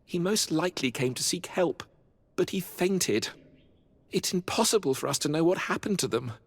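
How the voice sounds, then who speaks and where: Game cleric: pious, fretful man, formal, husky voice, long hall reverb. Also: high-pitched, lively intonation, normal speed, full character voice. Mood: worried, pleading. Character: anxious, pious cleric of a religious order. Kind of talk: video game dialogue acting.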